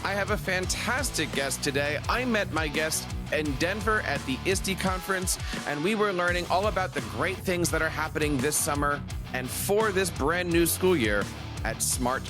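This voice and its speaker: A man hosting an education podcast, greeting listeners and interviewing a guest in an upbeat, animated way. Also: sits high. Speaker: podcast host.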